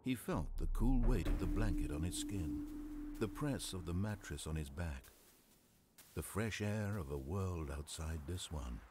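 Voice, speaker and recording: A man narrating a video game in a measured, wry, theatrical storytelling manner over game music. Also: deep voice, expressive, heavy background noise.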